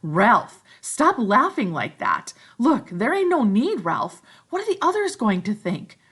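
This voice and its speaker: Shrill, fretful schoolboy, read by a woman, high and husky. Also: measured pace, full character voice. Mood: anxious shrill. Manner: shrill, pleading, excited. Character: shrill anxious chubby schoolboy.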